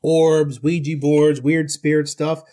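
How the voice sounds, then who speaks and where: A man's voice: a little high, little pitch movement, slow, dark tone. A man, hosting a podcast interview in a chatty, folksy, joking way. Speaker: podcast host. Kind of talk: casual conversational interview.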